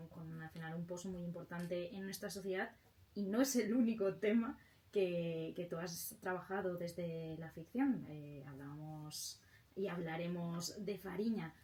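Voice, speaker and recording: A woman's voice: on the low side, measured pace, a little mellow. A woman with an accent from Spain, hosting an interview and asking questions in a conversational way. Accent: Spanish from Spain. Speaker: interview host.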